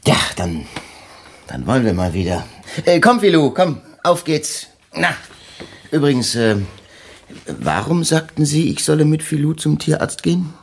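An actor playing a shrewd, probing police chief inspector who muses aloud in a radio crime drama. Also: male voice, on the high side, big pitch swings, gravelly, husky, heavily acted. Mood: probing, dry. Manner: probing, musing, giving brisk orders. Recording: loud car and street noise behind.

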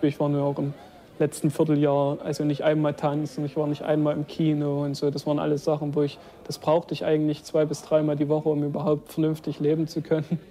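A young man talks casually about himself in a fairly high voice. His delivery is very monotone and his tone is dark and relaxed. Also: a little fast. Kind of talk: personal account, casual interview talk.

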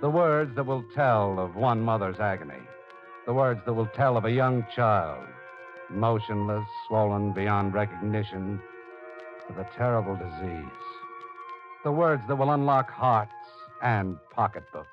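Male actor as solemn radio-play narrator, deep-set delivery, deliberate and theatrical, dull vintage recording over prominent music. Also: natural voice. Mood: solemn, stirring. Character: earnest dramatic male narrator addressing the heroine as you. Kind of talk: old-time radio drama narration.

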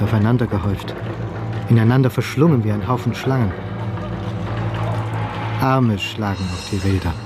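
Male radio play narrator, mid-pitched.

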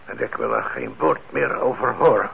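Very gravelly older shopkeeper. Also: male voice, mid-range voice, huge pitch swings, moderate pace, heavily acted. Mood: gruffly kind. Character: kindly older shopkeeper, a gruff, forgiving father. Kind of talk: radio drama acting. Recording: dull, noisy old radio recording.

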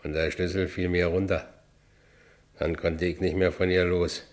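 An actor playing a rough, brawny, volatile ex-convict labourer in a radio play, speaking coarse Berlin vernacular. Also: male voice, low voice, level tone, normal speed, natural voice. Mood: wistful narrating. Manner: coarse Berlin vernacular, swinging from brooding to exultant. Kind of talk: German radio play acting, monologue and dialogue.

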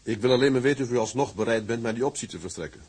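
A male actor playing a resolute, stubborn tugboat captain in a drama, with a rough, husky voice and a firm, formal manner. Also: medium pitch, racing, a little mellow, lightly acted. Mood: determined.

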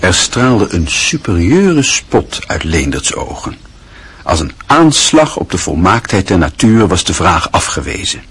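A man with a very husky voice reading scripted literary narration for a radio drama. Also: low-pitched, very animated, measured pace, a bit bright.

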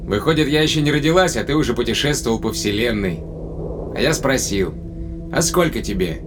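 An actor playing a tender but probing spaceship captain in a Russian audio drama, speaking conversationally with deliberate pauses. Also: male voice, medium pitch, lively intonation, music in the background, lightly acted. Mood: nostalgic. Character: male spaceship captain, long-lived, tenderly probing his companion.

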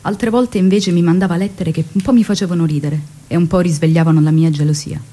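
An actress plays a young revolutionary's wife in a radio drama. She is devoted and full of longing, with a fairly low, mellow voice that has a husky grain. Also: fairly even intonation, quick pace, natural voice. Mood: tender reminiscence. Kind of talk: theatrical radio drama monologue and dialogue.

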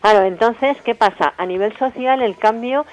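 A woman's voice: a little high, moderate pace, lo-fi sound. A middle-aged woman with an accent from Spain explains things emphatically and colloquially in an informal radio interview, often adding checking tag questions. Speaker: interviewed guest, health professional and feminist activist.

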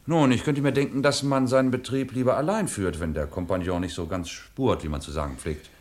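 An actor playing a composed, courteous police inspector who questions suspects formally and insistently in a radio crime drama. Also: male voice, on the low side, steady tone, brisk, darkish tone, lightly acted. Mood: probing, insistent.

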